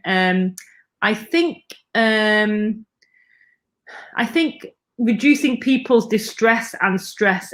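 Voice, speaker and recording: Chatty British woman in her forties. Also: medium pitch. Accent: British English. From England. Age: 40s. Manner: chatty, laughs easily. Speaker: guest, nurse. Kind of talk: casual interview.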